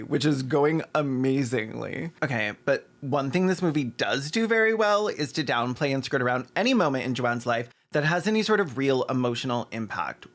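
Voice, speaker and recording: A man's voice: medium pitch, fairly bright tone. Snarky man doing sarcastic commentary. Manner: snarky, mocking, swears. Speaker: video essay host. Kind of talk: sarcastic scripted commentary with asides.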